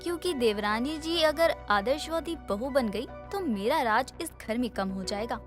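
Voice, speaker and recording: A woman plays a cunning elder sister-in-law in a family morality tale. Her voice sits high, sly and sugary, jealous underneath the politeness. Soft music runs behind her. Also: animated, normal speed, close to an everyday voice. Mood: sly, plotting. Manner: sly, sugary before others, plotting when alone. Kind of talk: dramatised Hindi moral story acting.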